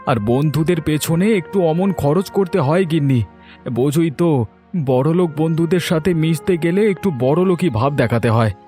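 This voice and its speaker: A man's voice: on the high side, fairly quick, warm tone, faint music behind, lightly acted. A man voicing a boastful, defensive older village grocer husband in a cartoon story. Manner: boastful, peevish. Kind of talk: Bengali cartoon story dialogue.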